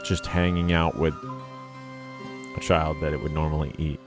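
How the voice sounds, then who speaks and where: A man's voice: very deep voice, flat delivery, moderate pace, music in the background. A man giving casual, off-the-cuff reaction commentary, informal and exclamatory with some swearing. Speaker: reaction video host. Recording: show soundtrack playing behind.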